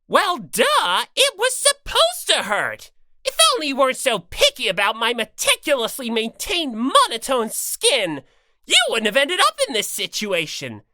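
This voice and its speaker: Audiobook villain: smug, bossy bear mascot, slow taunts, hugely animated pitch. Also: dry recording, studio quality, full character voice. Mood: bossy, scolding. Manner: mocking, theatrical, drawn-out taunting delivery. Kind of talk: character voice in fan audiobook.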